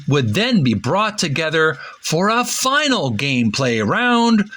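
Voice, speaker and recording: A man hosting a retro gaming channel, talking casually and reading aloud in a halting, deliberate, stumbling way. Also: a little high, a bit bright. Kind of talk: casual read-aloud commentary.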